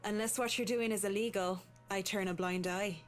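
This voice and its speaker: A woman's voice: medium pitch, fairly even intonation, faint music behind, lightly acted. Composed, discreet female household employee, acted. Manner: measured, formal, polite. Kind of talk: visual novel voice acting.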